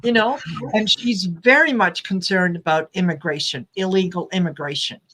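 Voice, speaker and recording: A woman's voice: fairly low pitch, normal speed, a bit bright, slight background noise, rough recording. A woman co-hosting a casual talk show, recounting at length with fillers. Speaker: co-host. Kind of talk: casual chat.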